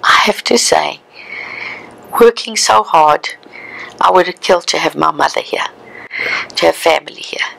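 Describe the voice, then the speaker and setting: A South African woman in her sixties tells her story in a reflective, conversational way. Her voice sits a little low and has a gravelly, creaky edge. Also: normal speed, long pauses, a bit bright, rough recording. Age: 60s. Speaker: interviewee. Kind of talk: oral history interview.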